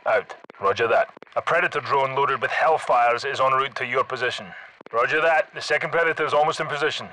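Game casting: male actor as Scottish special forces soldier, commanding and tough, forceful edge, clipped combat delivery. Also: mid-range voice, a little fast, a little hiss or hum, close to an everyday voice, low-quality recording. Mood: businesslike, terse. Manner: clipped, terse radio-style military callouts. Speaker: video game squad leader. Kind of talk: military shooter game battle chatter.

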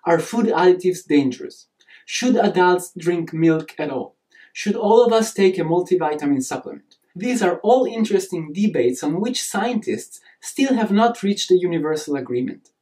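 A man in his thirties speaks with a clear Italian accent, delivering a prepared course introduction to camera. His tone is mellow and warm, and he stresses key words with earnest emphasis. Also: high-pitched, normal speed. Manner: earnest, animated hand gestures, emphatic delivery. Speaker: nutrition course instructor. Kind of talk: scripted lecture to camera.

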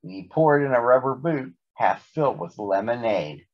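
A man's voice: mid-range voice, lively intonation, moderate pace, dull audio, natural voice. A man voicing a smug, chatty male storybook monster who gabs breezily and is devoted to his mother. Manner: gabbing and jabbering, breezily dismissive.

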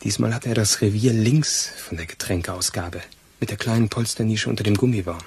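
An actor playing an eager, loyal police assistant in a crime radio play, arguing colloquially. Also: male voice, lowish voice, fairly quick, darkish tone, husky. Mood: eager, deducing. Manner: colloquial, argumentative.

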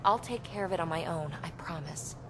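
An actress playing an irritable, guarded alien scout in a video game, blunt and sarcastic in a casual way. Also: female voice, low-pitched, fairly quick, natural voice. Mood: irritated. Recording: clear background ambience noise.

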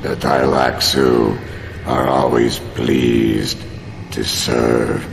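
An actor playing a sinister, sly mercenary agent in a game, with a gravelly, halting drawl. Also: male voice, a bass voice, level tone, noisy background, exaggerated voice. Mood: sly menace. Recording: music behind the voice.

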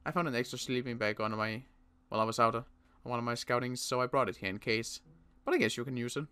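A young man playing a protective survivor in an in-character roleplay, talking in a halting way with frequent restarts. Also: medium pitch, sharp tone, clean tone. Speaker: roleplay series player.

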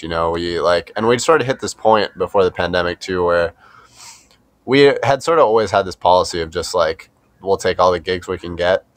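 Low-voiced young man chatting casually. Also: fairly bright tone, dry recording. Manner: rambling, peppered with "like". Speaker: indie rock band member, podcast guest. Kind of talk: casual chat.

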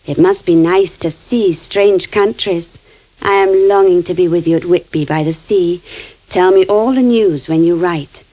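Gentle, anxious young Victorian woman, warm mellow tone, muffled hissy recording. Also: on the low side, a little slow. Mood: earnest. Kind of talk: 1970s radio drama.

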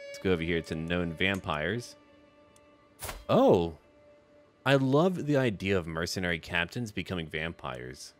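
Deep-voiced man doing game commentary. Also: fairly bright tone. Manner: thinks aloud, talks to game characters in short remarks. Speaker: gaming video host. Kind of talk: casual in-character strategy game commentary. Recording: music behind the voice. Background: background music.

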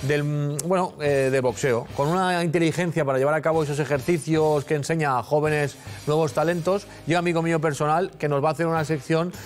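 A man's voice: on the high side, steady tone, a little fast. A man in his forties hosting a TV magazine show in a studio, chatting and teasing his guest playfully. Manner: jokey, teasing, talkative. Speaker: TV magazine host. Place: TV studio set with sofas. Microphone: lapel mic.

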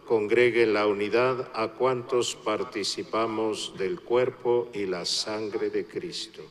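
Slow solemn priest. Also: male voice, lowish voice, steady tone. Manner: slow solemn liturgical recitation. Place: large church. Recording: long church reverb, faint noise.

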